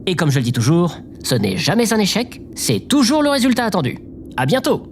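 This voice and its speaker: Man as an animated, insecure amateur podcaster. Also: high-pitched, a little fast, slight background noise, exaggerated voice. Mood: upbeat, apologetic. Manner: chatty, theatrical, self-effacing. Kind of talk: scripted audio drama.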